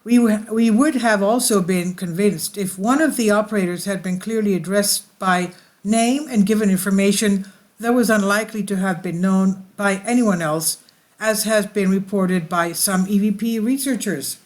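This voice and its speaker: A woman's voice: mid-range voice, moderate pace, darkish tone. A woman hosting her channel, reading an article aloud and often stumbling and correcting herself mid-sentence. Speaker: channel host.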